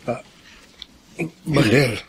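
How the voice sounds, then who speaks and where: An actor playing a remorseful elderly father in a radio drama, halting and pleading with a Filipino accent. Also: mid-range voice, slight background noise. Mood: meek, halting. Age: older.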